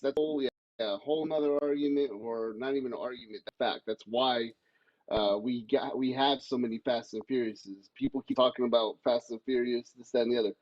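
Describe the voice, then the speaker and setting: A man with a mid-pitched voice on a dry, slightly dull recording. He talks casually as a podcast panelist, blunt and salty in his phrasing. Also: fairly even intonation, fairly quick, darkish tone, no echo. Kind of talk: casual chat. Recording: slightly dull, muffled mic sound.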